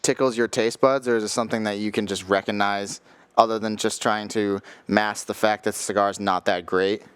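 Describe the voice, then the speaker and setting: A man's voice: fairly low pitch, steady tone, a little fast, a bit bright, clear, resonant tone, no echo. A man co-hosting a cigar podcast, asking curious questions and laughing often in casual chat.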